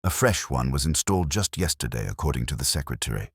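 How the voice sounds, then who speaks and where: A man playing a world-weary, erudite police inspector, narrating a mystery in brooding, literary, measured phrases. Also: extremely low pitch, animated, slightly rough, dry recording, clean studio sound, heavily acted. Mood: wry, somber. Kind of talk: scripted first-person mystery narration.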